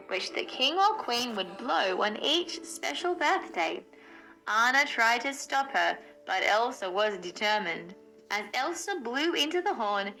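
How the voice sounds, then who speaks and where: A woman narrates a children's storybook in a bright, fairly high voice. She takes her time and tells it expressively. Soft music plays behind her. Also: poor audio. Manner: expressive storytelling, acts out dialogue. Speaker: storybook narrator reading aloud.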